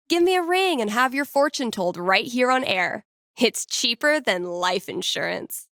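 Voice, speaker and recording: A woman's voice: medium pitch, a bit bright, no room sound, studio quality, lightly acted. A woman playing a witty young small-town radio DJ in a video game, with an American accent and a playful, conversational delivery. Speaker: radio host. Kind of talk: casual local radio announcements, video game voice acting.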